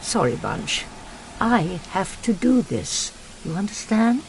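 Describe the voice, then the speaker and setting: An actress playing a shrewd, determined elderly English lady sleuth in a radio drama, genteel, precise and gently commanding. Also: medium pitch, sing-song, takes it slowly, creaky, background noise, exaggerated voice. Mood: firm, genteel. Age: older.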